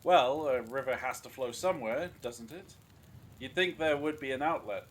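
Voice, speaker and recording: A man's voice: medium pitch, moderate pace, lightly acted. A man playing a grumpy, sarcastic captive with a cutting manner in an audio drama. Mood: brusque. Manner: scornful, irritable, cutting. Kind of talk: fan audio drama acting.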